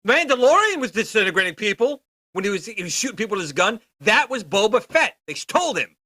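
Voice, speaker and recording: A man with a high, bright voice and animated intonation. He co-hosts in a casual, bantering style, needling the others as he goes. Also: fairly quick. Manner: teasing, needling. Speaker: co-host.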